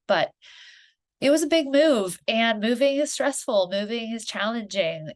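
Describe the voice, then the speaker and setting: A woman podcast host with a congested, stuffy voice from a head cold. She talks in an earnest, encouraging, conversational way, pitched a little lower than most women. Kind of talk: solo podcast monologue.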